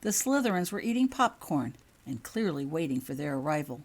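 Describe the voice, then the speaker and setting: A woman narrating fiction in an expressive storybook style, reading as the author of the tale. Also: deep voice, brisk.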